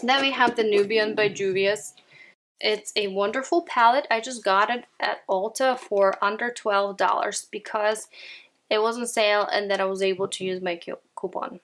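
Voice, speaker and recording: This is a woman with a Ukrainian accent, talking through her makeup in a relaxed, chatty way. Her pitch sits in a middle range, and she often speaks straight to her viewers. She is recording indoors at home. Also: moderate pace, a little hiss or hum. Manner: chatty, often addresses viewers as "you guys". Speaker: beauty vlogger. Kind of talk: casual makeup declutter chat.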